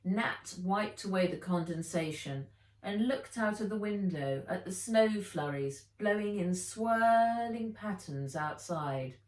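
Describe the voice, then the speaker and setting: A woman reading a children's adventure story aloud as its author, with expressive, theatrical delivery. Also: mid-range voice, takes it slowly. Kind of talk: dramatised audiobook narration. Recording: roomy, echoey, distant microphone.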